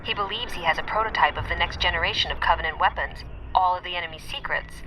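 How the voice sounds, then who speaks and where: An actress playing a composed artificial intelligence in a radio drama, speaking in a measured, formal way. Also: female voice, lowish voice, pushed and bright, a little hiss or hum, poor audio. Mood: grave, urgent. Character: composed female artificial intelligence, earnest tactical leader. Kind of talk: naturalistic radio drama acting.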